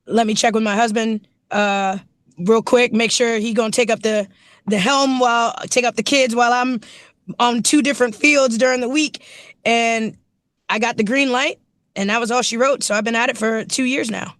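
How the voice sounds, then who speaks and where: A woman football coach with a naturally raspy voice, hoarse from yelling, chatting casually with enthusiasm in an interview over a video call. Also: on the high side, steady tone, moderate pace, no room sound. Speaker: running backs coach, guest.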